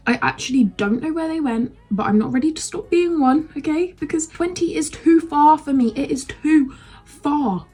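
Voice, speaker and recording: A woman's voice: high-pitched, normal speed, mellow, faint music behind. A nineteen-year-old woman with a British accent, chatting candidly to camera in a rambling, frank way with casual swearing. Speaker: vlogger.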